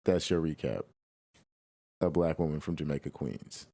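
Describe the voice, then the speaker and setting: A man around thirty hosts a casual podcast chat, reacting quickly and summing things up in an easygoing way. His voice is very low, and the sound is dry and close with no room echo. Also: vocal fry, warm tone. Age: 30s. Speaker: podcast host.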